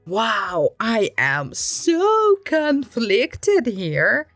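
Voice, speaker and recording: A woman reading an online story aloud in an animated way, letting her pitch swoop up and down for emphasis. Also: drawn-out words, no echo, faint music behind. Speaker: story reader.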